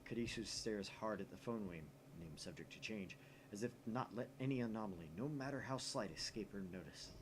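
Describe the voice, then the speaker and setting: Low-voiced man narrating expressively. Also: fairly quick, noisy background. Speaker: video game player reading aloud. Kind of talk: expressive visual novel narration.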